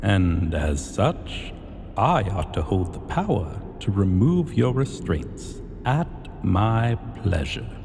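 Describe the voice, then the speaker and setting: A man playing an imperious, possessive dragon king in a fantasy ASMR roleplay, speaking in a commanding, deliberate and haughty manner. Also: deep voice, big pitch swings, gravelly, darkish tone, full character voice. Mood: possessive, pleased. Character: imperious male dragon king, menacing, possessive, slyly amused. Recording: long hall reverb, faint background noise.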